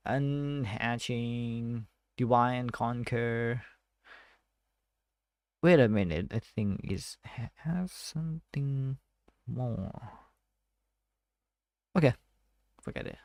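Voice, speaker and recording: A Thai-accented man works slowly through technical notes and reads passages aloud between hesitant asides. His voice has a gravelly edge, and the recording is dry and close, with no room sound. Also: mid-range voice, a little mellow, dry recording. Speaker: tech study vlogger. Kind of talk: casual self-study screen walkthrough.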